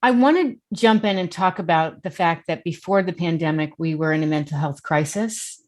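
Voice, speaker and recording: A woman's voice: lowish voice, normal speed. A woman hosting an interview, asking questions and encouraging her guest with short replies. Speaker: interviewer, host. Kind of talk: interview conversation.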